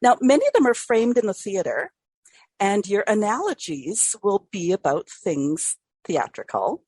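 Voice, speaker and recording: A woman hosting a podcast interview, asking questions in a lively, laughing way. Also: medium pitch, a little slow, husky, no room sound. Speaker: podcast host. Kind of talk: conversational interview.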